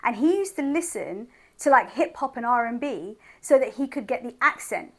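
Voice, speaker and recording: Radio host lady, fairly high voice, friendly, asking questions. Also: animated, normal speed. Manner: friendly, asks questions, laughs along. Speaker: radio presenter. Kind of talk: radio interview.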